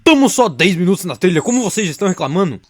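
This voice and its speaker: A man's voice: high-pitched, very animated, racing. A man playing a weary, exasperated young demon hunter who grumbles, in an anime mockumentary fan dub.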